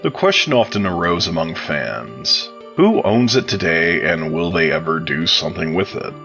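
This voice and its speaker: A man giving measured, articulate, explanatory answers as an interview guest, sounding prepared and read out. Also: low-pitched, sing-song, creaky, sharp tone, dull audio, soft background music. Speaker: interview guest, game modder.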